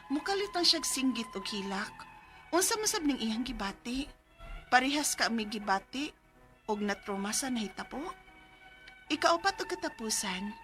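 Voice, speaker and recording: A woman's voice: fairly high pitch, fairly bright tone. An actress playing a frightened, trauma-shaken working mother in an emotional, plaintive radio drama style. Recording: music bed under the voice.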